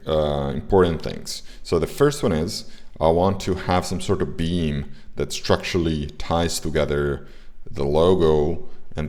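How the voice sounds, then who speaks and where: A man's voice: low-pitched, darkish tone. A young man with an Italian accent, hosting a casual game development livestream and talking with frequent hesitations. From Italy. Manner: hesitant, many fillers and restarts. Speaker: indie game developer streaming.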